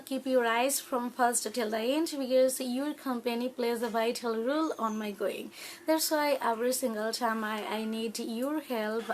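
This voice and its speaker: A young Bangladeshi woman, a native Bengali speaker, hosting her own channel and talking to viewers in halting English full of "uh" fillers and restarts. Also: a little high, slight background noise. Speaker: YouTube channel host. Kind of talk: casual talk to camera on learning English.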